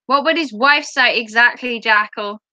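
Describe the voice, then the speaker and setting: A woman livestreamer chatting playfully with her viewers, her voice going a little hoarse after hours of talking. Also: on the high side, normal speed, pushed and bright, dry recording. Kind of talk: casual chat with viewers.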